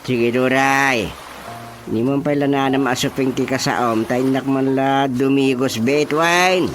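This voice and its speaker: Actor as slow-talking village chairman. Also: mid-range voice, steady tone, a bit bright, soft background music. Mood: measured. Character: village chairman, deliberate mediator of couples' quarrels. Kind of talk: Ilocano radio comedy drama acting.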